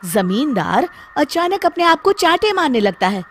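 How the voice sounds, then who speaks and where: High-voiced woman story narrator. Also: sing-song, normal speed, slight background noise. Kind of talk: scripted cartoon story narration.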